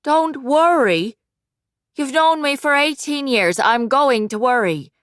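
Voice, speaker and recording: A woman's voice: sits high, animated, fairly bright tone, dry recording, studio quality, voice pushed up, full character voice. A woman voicing a worried, doting mother who fusses over her son. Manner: anxious, doting, quick to worry. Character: fretful loving mother, older woman.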